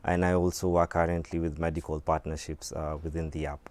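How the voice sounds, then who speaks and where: A Kenyan man in his thirties, a doctor, explaining calmly with many "um"s in a TV studio interview on a lapel mic. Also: very deep voice. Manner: measured, explanatory, with frequent "um"s. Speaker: medical doctor, app company guest. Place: TV studio set.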